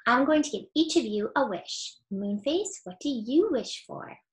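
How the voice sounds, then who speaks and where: A kind young girl from a children's storybook, as a woman reader voices her. She sounds gentle and full of wonder. Her pitch moves in a lively way. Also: a little slow.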